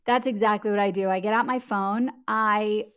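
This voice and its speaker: A woman's voice: mid-range voice, steady tone, normal speed, a bit bright, smooth. A middle-aged woman speaking as a guest author in a podcast interview, explaining things in an emphatic, conversational way.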